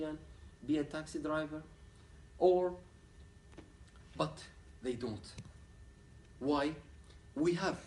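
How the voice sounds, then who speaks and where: A man from Ahwaz gives a conference lecture as a human rights activist. He speaks in earnest, halting English. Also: a little high, a little mellow, slight background noise. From Ahwaz, southwestern Iran. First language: Arabic. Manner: earnest, plain-spoken, halting non-native English. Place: university lecture room. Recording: echoey room, picked up from a distance.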